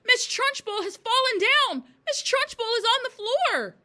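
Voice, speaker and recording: A woman voicing an excitable, panicky schoolboy from a storybook, shrill and crying out. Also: unhurried, heavily acted. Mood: hysterical excitement.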